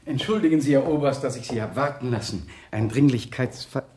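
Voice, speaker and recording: An actor playing a flustered middle-aged village vicar in a German radio play, formal and agitated, turning stern under pressure. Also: male voice, mid-range voice, fairly quick, mellow, roomy sound, lightly acted. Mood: polite.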